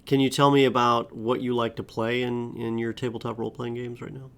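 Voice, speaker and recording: A man's voice: mid-range voice, little pitch movement, fairly quick, fairly bright tone, clean tone. An American man hosting an interview, asking questions with interest and a few hesitant fillers. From United States. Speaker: podcast host. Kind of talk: casual interview.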